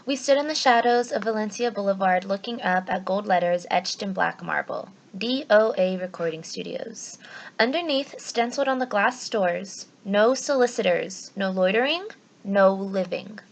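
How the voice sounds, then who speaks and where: A woman teacher speaking to her students in an expressive read-aloud style. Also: medium pitch, moderate pace, gravelly.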